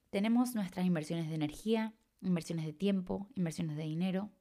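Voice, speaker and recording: A woman's voice: mid-range voice, gravelly, a little mellow. A young woman from Chile with a Chilean Spanish accent, hosting a reflective solo podcast and sometimes stretching words or dropping to a whisper for emphasis. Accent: Chilean Spanish, slightly mixed. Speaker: podcast host and coach.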